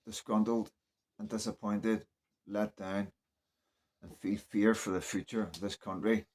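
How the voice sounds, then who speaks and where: A man's voice: lowish voice, fairly bright tone. A man from Northern Ireland with a Northern Irish accent gives casual, unscripted political commentary in an opinionated, conversational way, often checking in with the listener.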